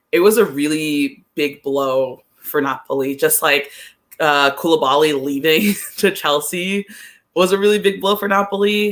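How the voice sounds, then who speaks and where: A man co-hosting a sports podcast, chatting casually about soccer in a rambling, halting way and often correcting himself. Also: a little high, fairly bright tone.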